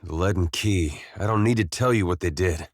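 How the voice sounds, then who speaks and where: An actor with an American accent playing a gentle, weary farmer turned soldier in a video game, speaking with a folksy, plainspoken drawl. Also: male voice, low-pitched, normal speed, no room sound, studio quality. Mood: troubled, earnest. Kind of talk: video game character dialogue.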